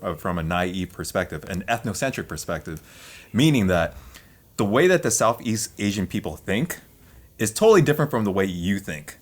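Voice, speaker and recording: A man with a lowish voice whose first language was Vietnamese co-hosts a casual reaction podcast. He talks in an insistent, lecturing way, pressing his advice on the listener. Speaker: podcast co-host.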